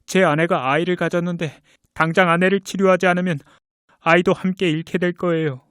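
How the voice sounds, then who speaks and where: A man's voice: high voice, steady tone, fairly quick, fairly bright tone, smooth, no room sound, studio quality. A man playing an anxious young husband in a storybook tale, earnest and pleading.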